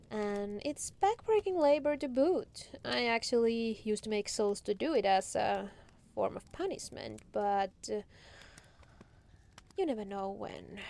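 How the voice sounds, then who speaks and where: Girl doing a demon lady boss for asmr roleplay, highish voice, slow and lilting, smug teasing, kinda regal. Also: vocal fry, warm tone. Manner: unhurried, teasing, casually regal. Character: female demon lord, proud, teasing, playfully menacing ruler.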